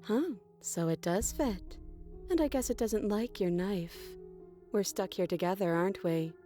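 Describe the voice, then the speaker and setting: Woman acting as a teasing captive princess, soft and coy, warm dark tone, game music underneath. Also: medium pitch, a little slow, natural voice. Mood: wry. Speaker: game character.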